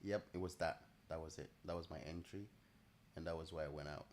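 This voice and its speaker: Low-voiced man with mixed Nigerian-Italian accent. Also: fairly even intonation, a little fast, darkish tone, a little hiss or hum. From Nigeria, raised partly in Italy. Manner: easygoing, chatty, thinks aloud, laughs often. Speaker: trading livestream host. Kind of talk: casual live-stream chat answering viewers.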